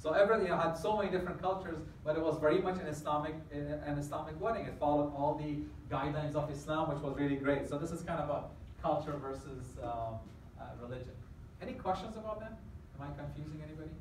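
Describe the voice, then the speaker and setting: A man's voice: fairly high pitch, quick pace, distant mic, slight background noise. Man lecturing in an echoey hall. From Afghanistan. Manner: explains with questions to the audience, walking at the front. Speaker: guest lecturer. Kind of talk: campus lecture to students. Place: large lecture hall indoors. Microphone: far camera at the back of the hall.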